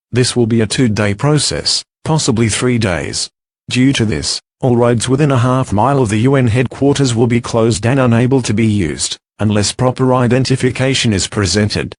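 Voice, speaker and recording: A man's voice: medium pitch, no room sound, clean studio sound, lightly acted. A man playing a courteous head of security who reads an official bulletin in a formal, orderly way.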